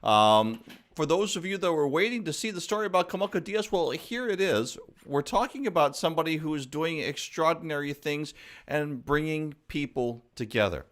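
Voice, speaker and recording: A man's voice: a little high, animated, moderate pace, a bit bright. A man hosting a live talk show, upbeat and exclamatory, drawing out his words as he introduces and questions a guest.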